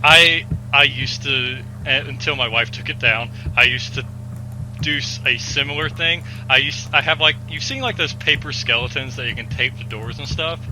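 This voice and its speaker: A man in his twenties chatting casually as a podcast host, animated and given to joking exaggeration. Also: medium pitch, moderate pace, slight background noise, poor audio. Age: 20s.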